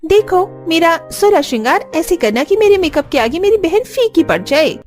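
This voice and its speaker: A woman's voice: extremely high pitch, very animated, normal speed, darkish tone, soft background music. A woman voicing a haughty, self-important young bride in a cartoon story. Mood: demanding. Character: haughty young bride, vain and quick to snap.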